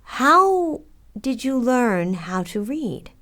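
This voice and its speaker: A woman playing a mother and nun in an audio drama, with a deliberate, dramatic delivery. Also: a little high, big pitch swings, bright, forceful tone, exaggerated voice. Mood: scolding, doubtful. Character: stern, busy mother, later a reflective nun.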